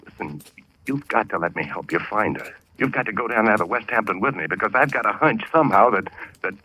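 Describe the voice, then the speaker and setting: An actor in 1940s radio drama playing a frantic young newlywed husband, urgent, halting and pleading. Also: male voice, lowish voice, animated, pushed and bright, rough recording. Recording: old radio recording with music.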